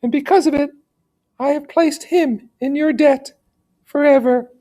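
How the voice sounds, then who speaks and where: A man's voice: very high voice, a little slow, dark tone, pitched above the performer's own voice. A man reading a story aloud, voicing a pleading medieval noblewoman, an anxious mother with a formal, courtly manner.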